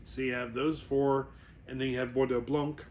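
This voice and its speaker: A man's voice: mid-range voice, level tone, moderate pace, a little mellow, a little hiss or hum. A man presenting a wine review in a casual, rambling explainer style, often correcting himself as he goes. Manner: informal, rambling, self-correcting.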